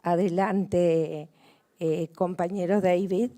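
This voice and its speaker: A woman opening a press conference, introducing guests in a formal, measured way, with frequent hesitations. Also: fairly low pitch, fairly even intonation, warm tone.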